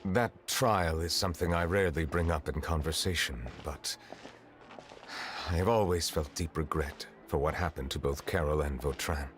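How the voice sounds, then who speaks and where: An actor playing a grave, reserved chief justice in a video game, speaking formally and with measured melancholy. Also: male voice, rumbling low, faint music behind. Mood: regretful. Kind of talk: video game character acting.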